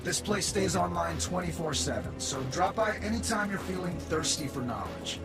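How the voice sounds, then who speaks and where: A man's voice: sits high, expressive, normal speed, creaky, loud music behind the voice. An actor voicing a brash male AI weapons maker in a video game, casual and cocky, explaining features and calling his user boss. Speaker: onboard AI guide.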